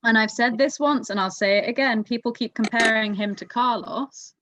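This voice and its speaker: A woman in casual, excitable conversation, reviewing races as a podcast host. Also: on the high side, normal speed, background noise. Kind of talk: casual race review chat.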